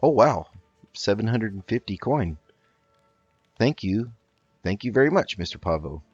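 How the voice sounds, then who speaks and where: A man with a lowish voice gives casual let's play commentary. He is chatty and a little hesitant, thinking aloud. The sound is slightly dull, with faint music behind him. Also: big pitch swings, measured pace, a little mellow, husky, dry recording. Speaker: gaming video host. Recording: slightly dull mic, game music underneath. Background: faint game music.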